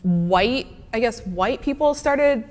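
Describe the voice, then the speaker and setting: A woman's voice: fairly high pitch, lively intonation, takes it slowly. Young Midwestern woman in a hall. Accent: Midwestern American. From American Midwest. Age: young adult. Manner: casual, many fillers and restarts. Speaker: student presenter. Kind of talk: answering audience questions after a talk. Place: lecture hall. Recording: reverberant lecture hall.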